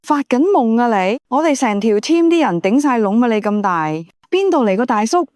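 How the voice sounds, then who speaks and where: Woman acting high-pitched, stern Hong Kong boss lady. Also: expressive, moderate pace, darkish tone, no room sound, studio quality, natural voice. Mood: incredulous. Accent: Hong Kong Cantonese. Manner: scolding, blunt, interrogating. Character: stern, exasperated female office boss. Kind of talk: scripted audio-visual drama. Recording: music bed behind voice.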